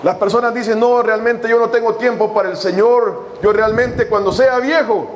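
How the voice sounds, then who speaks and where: A man preaching a sermon as a pastor, reading scripture slowly and addressing his congregation with long pauses. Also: extremely high pitch, fairly even intonation, a little hiss or hum. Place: church hall.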